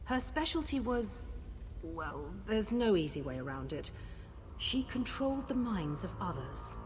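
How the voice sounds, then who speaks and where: An actress playing a composed, scholarly woman who leads an expedition in a fantasy game, speaking in a measured, articulate and earnest way. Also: medium pitch, very animated, music in the background. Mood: worried. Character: scholarly female expedition leader, composed and concerned. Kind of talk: scripted fantasy game dialogue.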